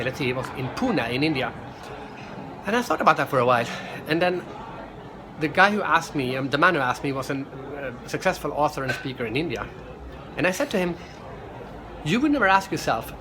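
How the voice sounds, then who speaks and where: Upbeat Swedish man, noisy background. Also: on the high side. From Sweden. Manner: energetic, restarts and repeats words. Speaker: professional speaker. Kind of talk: casual vlog monologue.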